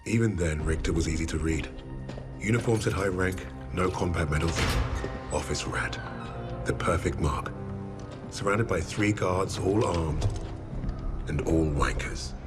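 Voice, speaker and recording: British army leader narrating a war game, low grave measured voice, loud music behind. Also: male voice. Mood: scornful. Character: steely British military squad leader, narrating memories. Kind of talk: scripted dramatic game narration. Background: loud cinematic music.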